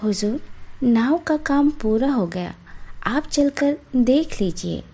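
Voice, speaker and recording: A poor, honest painter from a village tale, played by a woman storyteller. He is humble and deferential, speaking slowly and politely. The tone is mellow. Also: a little high, expressive, pitched above the performer's own voice, heavily acted. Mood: respectful.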